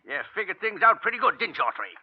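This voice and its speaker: An actor playing a scheming rancher uncle, a villain in an old-time radio western. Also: male voice, high voice, sing-song, very fast, husky, full character voice. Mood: menacing, gloating. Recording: dull vintage radio broadcast recording.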